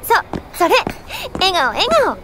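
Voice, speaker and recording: A woman's voice: very high voice, huge pitch swings, takes it slowly, noisy background, heavily acted. An actress playing an upbeat, kind young girl in an anime audio drama, with a breezy, girlish, teasing manner. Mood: playful teasing. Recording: studio drama with music behind.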